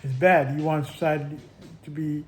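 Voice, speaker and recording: A man vlogging in a casual, rambling monologue, halting often with restarts and little chuckles. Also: fairly high pitch, steady tone, slight background noise.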